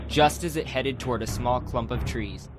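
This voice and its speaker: A man's voice: medium pitch, moderate pace. A man narrating a fantasy adventure story as a scripted, dramatic audiobook. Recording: music behind the voice.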